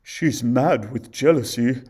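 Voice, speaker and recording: A man's voice: medium pitch, takes it slowly, a little mellow, breathy edge. A man voicing a remorseful huntsman who sobs and urges the princess to flee in a storybook reading. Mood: desperate. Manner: sobbing, urgent pleading.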